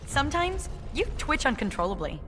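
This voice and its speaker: A woman's voice: high-pitched, big pitch swings, normal speed, a bit bright, in a large hall, faint music behind. An actress playing a plucky, wry woman warrior, a chatty lone survivor in a video game. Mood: playful.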